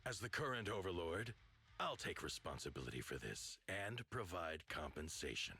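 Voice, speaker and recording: An actor playing a stern, dignified overlord of a motor league in a video game, speaking in a commanding, formal way. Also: male voice, sits low, sing-song, moderate pace, pushed and bright, heavily acted. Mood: stern, reproachful.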